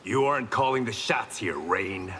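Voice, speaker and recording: A man's voice: fairly low pitch, expressive, normal speed, bright, forceful tone, slight background noise. An actor playing a proud, wry blind swordsman and former gangster, speaking in dry, guarded, terse lines in a video game. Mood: stern. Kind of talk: video game fight banter. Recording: reverberant game hall sound.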